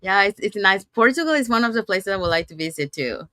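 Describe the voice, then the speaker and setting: A woman's voice: mid-range voice, lively intonation, fast, pushed and bright, no room sound, clean studio sound. A woman hosting an interview over a video call, talking casually with many fillers. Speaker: host.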